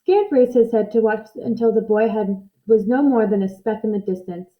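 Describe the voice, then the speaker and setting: A woman reading a children's story aloud in an expressive narrating style. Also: medium pitch, moderate pace, phone-quality sound. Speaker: storybook reader.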